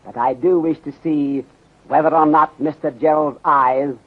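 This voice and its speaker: A thin, band-limited old radio drama recording carries a shrewd, courteous detective. The voice is husky and a little high. He speaks in measured, formal phrases that drop small words. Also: fairly even intonation. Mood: courteous, instructing. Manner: measured, formal, clipped phrasing without articles. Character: courteous, shrewd Chinese detective inspector with aphorisms. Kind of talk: 1930s radio acting. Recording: muffled phone-like 1930s radio recording.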